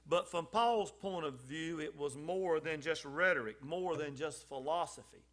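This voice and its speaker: A man's voice: a little high, unhurried, fairly bright tone. A man speaking as a lay speaker at a church service. His delivery is folksy and conversational, with frequent ums and restarts, and he rises in emphasis on key phrases. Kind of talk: informal church message.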